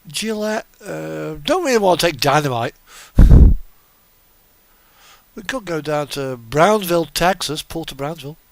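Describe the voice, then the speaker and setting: A man's voice: on the high side, animated, measured pace, vocal fry, long pauses, dry recording. A man giving casual live commentary as he streams a game, chatting and thinking aloud.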